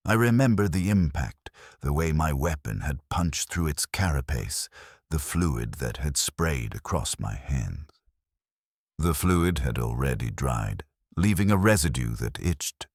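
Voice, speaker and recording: An extremely deep-voiced man reads a grim sci-fi horror tale. He takes his time, with a grave, measured delivery that still moves noticeably in pitch. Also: expressive, breathy edge, no room sound, clean studio sound. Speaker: narrator. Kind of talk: scripted first-person dark sci-fi horror narration.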